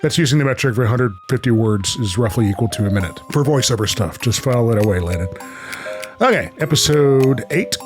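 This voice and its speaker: A man's voice: medium pitch, lively intonation, fairly quick, soft background music. A man hosting a show with casual chat and reading aloud, in a playful, goofy manner. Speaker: show host and creator.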